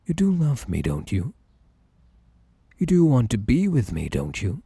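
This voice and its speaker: A man with a British accent playing a possessive, obsessive, sweetly menacing bunny man in an ASMR roleplay, coaxing and theatrical, with a breathy voice that slips into whispers. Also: mid-range voice, huge pitch swings, warm tone, dry recording, full character voice. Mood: soothing, pleading. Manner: theatrical, coaxing, drawn-out polite endearments.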